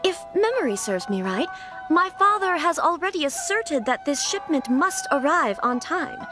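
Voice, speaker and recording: A woman's voice: high voice, sing-song, normal speed, music in the background. A formal, earnest young noblewoman. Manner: formal, courteous phrasing, slight nervous stammers. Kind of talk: video game voice acting.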